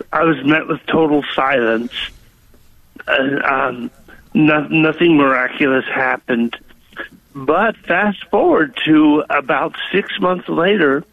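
A man's voice: fairly high pitch, gravelly, no room sound. A man calling in to a radio show, telling a personal story with many hesitations and ums. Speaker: caller. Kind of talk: call-in testimony. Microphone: telephone. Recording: tinny phone line.

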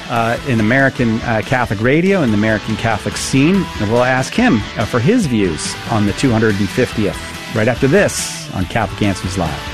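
Male radio host, halting delivery with fillers, background music. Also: mid-range voice. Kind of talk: live radio interview.

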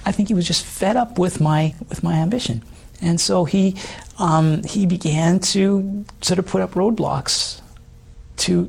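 A middle-aged man with a hoarse voice, giving an earnest, halting personal testimony as an interview guest. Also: high voice, darkish tone. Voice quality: hoarse voice from old vocal damage. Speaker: interview guest, former stage singer.

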